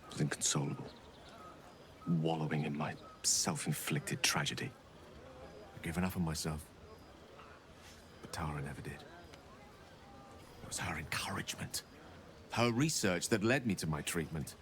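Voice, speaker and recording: A low-voiced, eloquent gentleman wizard. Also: expressive, unhurried, long pauses, full character voice. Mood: reflective, earnest. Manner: theatrical, florid, articulate. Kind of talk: video game character dialogue.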